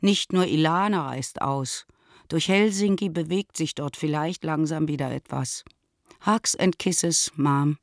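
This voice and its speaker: A woman's voice: sits low, measured pace, dry recording, studio quality. An actress playing a worldly, opinionated and affectionate middle-aged mother, speaking a letter in a radio drama. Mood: tender. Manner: emphatic, opinionated.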